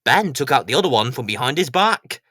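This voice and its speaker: A man's voice: medium pitch, sing-song, a little fast, bright, forceful tone, no room sound, studio quality. A man narrating a scripted children's story as storyteller.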